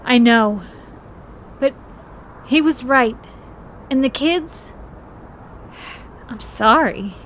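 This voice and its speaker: A woman's voice: sits high, extremely slow, long pauses, fairly bright tone, a little hiss or hum, heavily acted. An actress playing a conflicted woman pleading with a hero. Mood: urgent.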